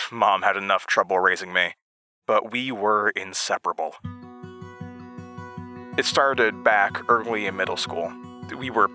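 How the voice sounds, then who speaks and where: A man playing a nervous young man from a small Midwestern town, recounting his past in a halting, conversational audio drama monologue. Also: lowish voice, lightly acted. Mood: nostalgic. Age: young adult. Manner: hesitant, stammering, rambling. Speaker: audio drama lead character.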